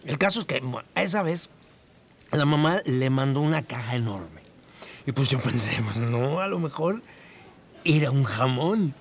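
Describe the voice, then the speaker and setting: An actor playing a kindly, sentimental Mexican campus worker giving testimony in an audio drama, chatty and hesitant. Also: male voice, medium pitch, animated, measured pace. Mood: fond recollection. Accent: Latin American Spanish, Mexican. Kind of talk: documentary-style testimony, scripted audio drama. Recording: voice over noticeable ambient noise.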